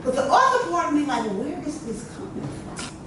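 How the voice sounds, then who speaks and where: A woman hosting a workshop, telling stories to a small group in a lively, chatty way, with a lot of "you know.". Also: high voice, brisk, far from the mic. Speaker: workshop host. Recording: echoey room, from afar, noisy.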